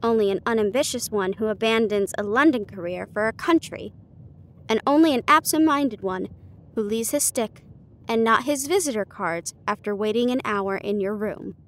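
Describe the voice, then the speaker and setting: A woman voicing a confident, teasing male detective in a storybook reading, shrewd and theatrical, with deliberate deductions. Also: fairly high pitch, natural voice. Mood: deducing.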